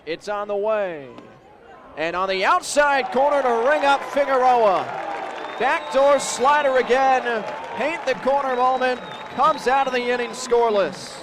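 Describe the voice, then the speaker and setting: Male American radio sportscaster, very high-pitched, punchy clipped calls rising to shouts, over noisy venue crowd. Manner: clipped phrases, building to excited shouts. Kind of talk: live sports play-by-play commentary. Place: sports venue broadcast position. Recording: loud arena and crowd noise behind. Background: crowd and venue noise.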